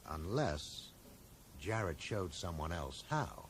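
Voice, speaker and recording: A thoughtful American amateur sleuth, fairly low in pitch with lively inflection. He is dry and persistent as he reasons and probes, on a slightly noisy old broadcast recording. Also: slow, exaggerated voice. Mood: probing. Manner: probing, questioning, dry. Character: thoughtful, persistent man puzzling out a mystery. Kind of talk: 1980s radio drama acting.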